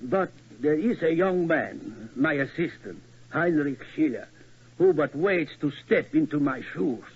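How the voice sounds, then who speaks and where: An actor playing a fussy middle-aged tower keeper who talks in stiff, inverted phrases, old muffled radio recording. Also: male voice, a little high, lively intonation, measured pace, breathy edge, heavily acted. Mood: formal. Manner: formal, inverted word order. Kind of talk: 1940s radio acting.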